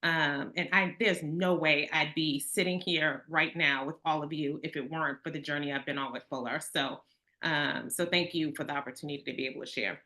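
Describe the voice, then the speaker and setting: A woman with a very low but bright, forceful voice gives a heartfelt talk. She sounds grateful and sincere, and stumbles over a filler here and there. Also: steady tone, fairly quick. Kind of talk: testimony talk to an audience.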